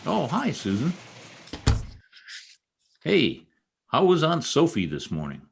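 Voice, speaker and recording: A man's voice: fairly high pitch, huge pitch swings, slow pace, creaky, noisy background, full character voice. A man playing a wry, easygoing newspaper reporter in a radio comedy, with a joking delivery. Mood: wry, doubtful. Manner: wry, joking, hesitant asides. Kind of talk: old-time radio comedy acting. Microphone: video call.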